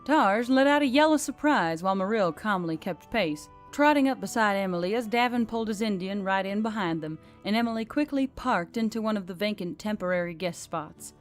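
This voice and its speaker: A woman's voice: mid-range voice, a little fast, hardly any pauses. A woman reading scripted fantasy fiction as an audiobook narrator. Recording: background music under the reading. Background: music.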